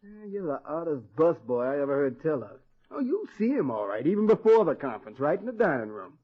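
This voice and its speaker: A bossy, teasing head waiter in an old radio play. The voice is high and husky, the talk folksy and good-hearted underneath, and the recording is muffled. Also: male voice, very animated, a little fast. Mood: teasing, scolding. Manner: folksy, colloquial, needling. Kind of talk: old-time radio drama acting.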